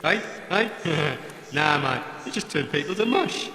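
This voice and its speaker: A man's voice: on the high side, expressive, a little slow, creaky, pushed and bright, exaggerated voice. A man voicing a grumbling, cheeky old working-class robot with a British accent, blokey and sarcastic, in a comic audio drama. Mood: gleeful, sarcastic. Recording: echoey hall, crowd noise behind.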